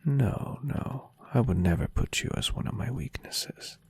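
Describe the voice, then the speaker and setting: A man voicing a tender, adoring and playfully teasing centuries-old vampire boyfriend in an intimate ASMR roleplay, speaking in a soft, husky half-whisper. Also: medium pitch, lively intonation, unhurried, exaggerated voice. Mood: devoted. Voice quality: breathy, husky half-whisper with gravelly creak. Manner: soft, soothing, affectionate murmuring.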